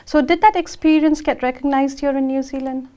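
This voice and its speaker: A woman's voice: sits high, steady tone, fairly quick, warm tone. A woman hosting a TV interview show, asking a guest questions in a friendly, encouraging way. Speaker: TV show host.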